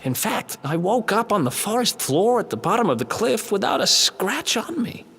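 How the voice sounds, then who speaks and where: An actor playing a cocky, loyal young thief in a video game, with a breezy, wry, streetwise manner. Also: male voice, sits high, big pitch swings, moderate pace, creaky, husky, exaggerated voice. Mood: relieved, animated. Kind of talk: video game cutscene acting. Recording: loud game music behind the voice. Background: loud music.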